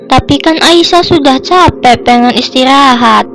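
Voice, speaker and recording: A woman voicing a small girl who has just woken from illness, speaking simply and slowly. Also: high-pitched, a bit bright, faint music behind. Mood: telling. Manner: slow, childlike, plaintive. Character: sweet little girl recovering from illness.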